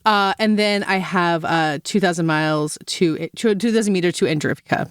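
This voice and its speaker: A woman's voice: lowish voice, moderate pace, clear, resonant tone, no echo, studio quality. A woman co-hosting a casual film awards discussion, talking in a chatty, analytical, conversational way. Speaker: podcast co-host.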